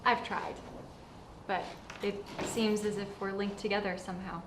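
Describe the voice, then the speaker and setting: A woman's voice: medium pitch, little pitch movement, normal speed, very noisy, natural voice. A woman playing a sensible, weary ghost in a comedy web series, speaking in a dry, matter-of-fact way. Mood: dry, exasperated.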